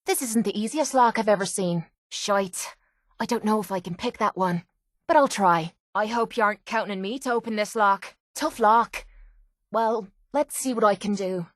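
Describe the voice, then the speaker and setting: A woman's voice: medium pitch, normal speed, fairly bright tone, no echo, clean studio sound, natural voice. A woman playing a tough, cocky young brawler in a video game, with an Irish accent and a blunt, sardonic manner. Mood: casual, assessing. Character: tough, brash, foul-mouthed young woman brawler and mercenary. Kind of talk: video game companion dialogue.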